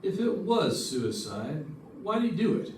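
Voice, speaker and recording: A man's voice: high-pitched, sing-song, a little slow, darkish tone, a little hiss or hum. A man playing a dry, probing insurance investigator in an old-time radio detective drama, with wry, clipped questioning. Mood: polite probing. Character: dry, probing male insurance investigator narrating his case. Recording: roomy, distant stage recording with music.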